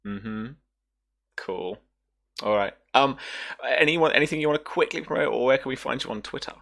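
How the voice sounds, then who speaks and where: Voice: medium pitch, animated, moderate pace, gravelly, fairly bright tone. A game master casually hosting a tabletop roleplaying livestream in a chatty, teasing way.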